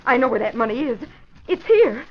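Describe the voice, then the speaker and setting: A woman's voice: a little high, sing-song, a little fast. A woman playing a young, selfish, scheming femme fatale, desperate and melodramatically pleading, in 1940s radio crime drama. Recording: muffled, noisy vintage radio recording.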